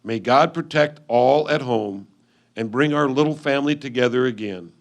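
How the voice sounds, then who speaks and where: A man playing a lonely 1850s frontier husband, reading his letter home in a measured, earnest reader's theatre style with dramatic pauses. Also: mid-range voice, lightly acted. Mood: tender, earnest.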